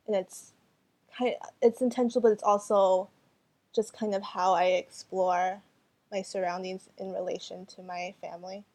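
A young woman artist, Cantonese her first tongue, answers in English at an unhurried, thoughtful pace. She hesitates often and restarts her sentences while she searches for the right words. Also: medium pitch, mellow. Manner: hesitant, many fillers and restarts. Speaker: artist and photographer. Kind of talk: interview answers.

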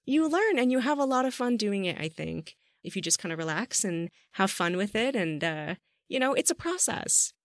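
A woman's voice: medium pitch, very animated, normal speed, dry recording, studio quality. A woman hosting a podcast interview in a casual, effusive and supportive way. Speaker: podcast host.

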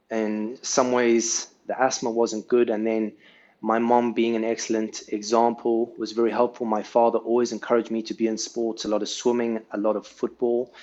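A man's voice: mid-range voice, darkish tone. South African man, dull lo-fi sound. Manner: earnest, tags sentences with "right?". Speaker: podcast guest. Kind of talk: casual life-story interview.

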